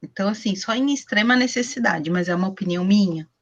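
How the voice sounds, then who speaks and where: A woman's voice: medium pitch, quick pace, muffled recording. Workshop teacher, woman in her forties, chatty explanatory tone, laptop webcam at home. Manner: chatty, explanatory, asks herself questions. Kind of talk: online class teaching. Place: small room at home. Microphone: laptop webcam on video call.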